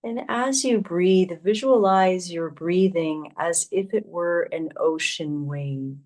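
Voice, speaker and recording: Female meditation guide, slightly low register, unhurried and gentle, soothing instruction with long pauses. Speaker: yoga teacher. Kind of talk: guided meditation, yoga nidra.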